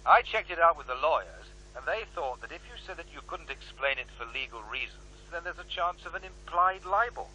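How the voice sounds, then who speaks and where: A man's voice: on the high side, expressive, brisk, hardly any pauses, sharp tone, a little hiss or hum, low-quality recording. An actor playing a slick, self-justifying male reporter in a radio drama. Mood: defensive.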